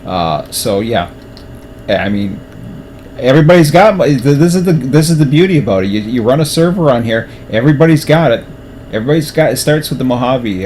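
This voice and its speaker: A fairly low-voiced man talks through his setup in loose, rambling commentary, often restarting sentences as if thinking out loud. His throat is going dry, and a faint hum sits behind him. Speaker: train simulator streamer. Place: at a table at home.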